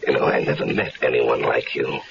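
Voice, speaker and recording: A man's voice: very deep voice, a little fast, creaky, heavily acted. A man voicing a wary, streetwise man who is skeptical about a murder plot, in a 1970s radio drama. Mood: wry. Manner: wry, skeptical. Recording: dull, faintly hissy 1970s radio recording.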